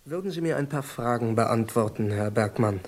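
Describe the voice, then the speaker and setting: An actor playing a businesslike intelligence agent in an old radio crime drama, questioning directly and dryly. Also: male voice, mid-range voice, animated, normal speed, lightly acted. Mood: probing, businesslike. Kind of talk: 1970s German radio crime drama acting.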